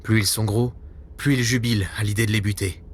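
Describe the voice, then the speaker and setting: A man's voice: lowish voice, fairly even intonation, brisk, lightly acted. Man playing a brooding veteran secret agent, ambient noise. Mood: earnest, defensive. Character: weary, self-doubting veteran male secret agent. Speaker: actor in an audio drama. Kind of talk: French spy audio drama acting.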